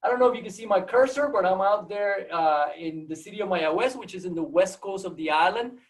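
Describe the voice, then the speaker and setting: A man's voice: high-pitched, quick pace, recorded from a distance. A man with a Puerto Rican accent, a native Spanish speaker, giving an informal, storytelling seminar talk on a video call and often repeating words as he goes. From Puerto Rico. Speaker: guest seminar speaker, biology professor.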